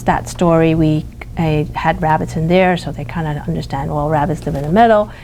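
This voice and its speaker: A woman speaking as a teacher in an interview, explaining her classroom practice haltingly as she feels for words. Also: on the low side, a little mellow, a little hiss or hum.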